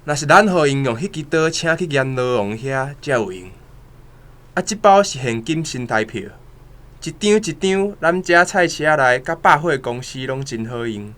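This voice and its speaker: A man's voice: medium pitch, animated, normal speed, fairly bright tone, lightly acted. A man voicing a scheming middle-aged man who tempts a poor family with cash, speaking in a coaxing, wheedling way.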